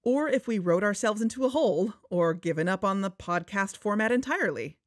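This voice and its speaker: A woman's voice: mid-range voice, lively intonation, brisk, no echo, studio quality, lightly acted. A woman playing an earnest, candid podcast co-host, speaking in a conversational scripted style. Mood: upbeat, resolved. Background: background music.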